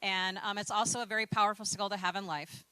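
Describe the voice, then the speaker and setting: Emcee woman with a bright, sharp tone and a dry sound, says um a lot, encouraging. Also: mid-range voice, steady tone, quick pace, smooth, no echo, low-quality recording. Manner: many "um" fillers, encouraging. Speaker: program staff emcee. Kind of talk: introducing speakers at a graduation ceremony.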